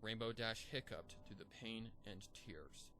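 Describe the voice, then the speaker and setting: Low-voiced man reading a dark story. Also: unhurried, slight background noise. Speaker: fanfiction narrator. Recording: reading over background music.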